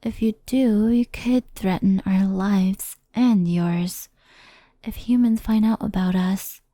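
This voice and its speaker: Gentle kitsune woman played by a female performer for ASMR roleplay: devoted, anxious, mellow, unhurried and whispery. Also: medium pitch, no echo. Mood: tender, reassuring. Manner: soft, slow, soothing, often whispery.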